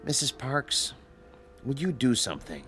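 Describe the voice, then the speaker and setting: An actor playing a calm, authoritative male doctor in a radio drama, measured and directing. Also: medium pitch, soft background music. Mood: calm, commanding. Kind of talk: old-time radio drama acting.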